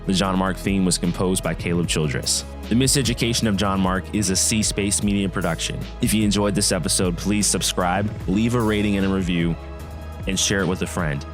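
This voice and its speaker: A man's voice: low-pitched, fairly even intonation, fairly quick. A man reading scripted credits as an announcer. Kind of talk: scripted narration. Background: guitar music.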